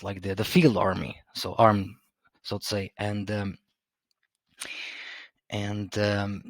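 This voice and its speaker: Hesitant low-voiced man explaining history. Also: no room sound. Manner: hesitant, many ums and restarts, searching for words. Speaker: history channel host. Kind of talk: casual explanatory talk to camera. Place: Stockholm.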